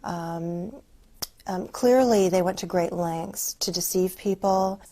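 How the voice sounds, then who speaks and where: Female academic interviewee, slightly low voice, deliberate, halting explanation. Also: dark tone. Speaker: sociologist researcher being interviewed.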